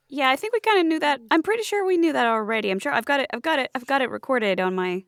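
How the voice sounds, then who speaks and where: A woman with a fairly high, animated voice and plenty of creak improvises comic drama. She is halting and theatrically polite. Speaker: performer in an improvised comedy audio drama.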